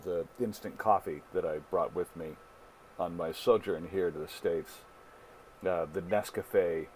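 A man with a somewhat low voice co-hosts a podcast in relaxed, agreeable chat. He works through his points aloud. A faint hiss sits under him. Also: measured pace, poor audio. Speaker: podcast co-host.

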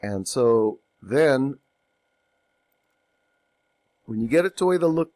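A man getting over a cold, narrating a software tutorial over a screen recording, unhurried and thinking aloud with ums and self-corrections. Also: mid-range voice, expressive, no echo.

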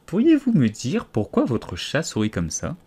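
A man's voice: mid-range voice, wildly swooping pitch, normal speed, mellow, breathy edge, exaggerated voice. A man voicing a polite, timid and earnest little girl in a storybook, with a hesitant, exclaiming manner.

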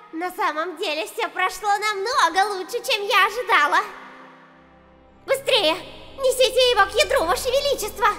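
A woman's voice: extremely high pitch, sharp tone, heavily acted. A woman playing a nervous, eager female monster sidekick with a girlish manner in a comic dub. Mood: excited. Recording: long reverb over voice.

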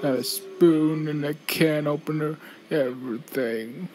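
A woman voicing a big lovelorn male bear who croons mournfully in drawn-out phrases, in an amateur cartoon-style stage show. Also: low-pitched, music in the background. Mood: lovelorn crooning.

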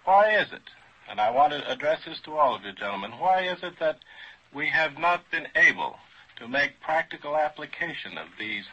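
A man moderating a formal scientific panel in a mid-century radio style, deliberate and courteous. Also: a little high, sing-song, bright, forceful tone, breathy edge. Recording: dull vintage radio recording.

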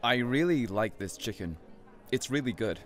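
A man's voice: medium pitch, expressive, normal speed, soft background music, close to an everyday voice. An actor playing an awkward, earnest man on a first date in an audio drama, speaking hesitantly. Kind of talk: naturalistic audio drama acting.

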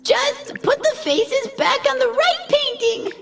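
Squeaky, creaky little game creature. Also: female voice, slow pace, slight background noise, heavily acted. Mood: playful.

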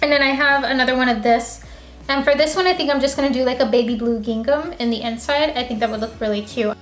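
A young woman talks through her day in an easy, chatty vlog, her high voice a touch hoarse from losing it. She fills her sentences with "like" and sounds upbeat. The room rings a bit and music runs behind her. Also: fast, reverberant. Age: young adult. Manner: chatty, many "like" fillers, upbeat. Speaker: ceramics small business owner vlogging. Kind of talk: casual vlog narration. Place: home studio office and dining room, indoors. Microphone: camera mounted on window, handheld at times.

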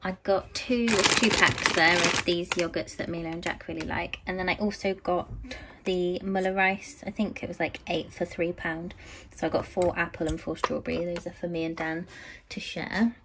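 A woman's voice: lowish voice, level tone, normal speed, noisy background. A British woman in her thirties chatting casually at home about her weekly grocery shopping, with frequent ums and "so yeah". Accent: British English. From United Kingdom. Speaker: vlogger. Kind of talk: casual grocery haul chat. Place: home kitchen, indoors.

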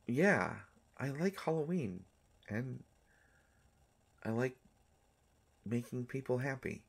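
A man voicing an affectionate, mischievous vampire doctor in an intimate romantic audio roleplay, speaking in a halting, teasing way. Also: medium pitch, sing-song, vocal fry, slightly rough, heavily acted. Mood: fond, casual. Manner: halting, teasing, soft-hearted speech. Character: gentle, mischievous male vampire doctor, centuries old, affectionate.

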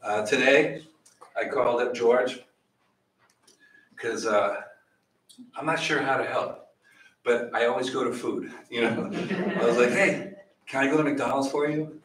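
Animated man teaching in an echoey room. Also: medium pitch, a little slow, long pauses, distant mic. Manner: animated, gestures widely, asks the audience questions. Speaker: church teacher. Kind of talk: Bible study teaching. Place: small church meeting room.

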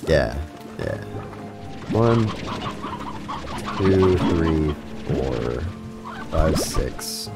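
A young man chatting casually with his live chat while playing a game, stuffed up with a cold, rambling with frequent restarts. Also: extremely low pitch. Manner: rambling, talks to chat, self-interrupting restarts. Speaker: streamer. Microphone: studio mic with compressor.

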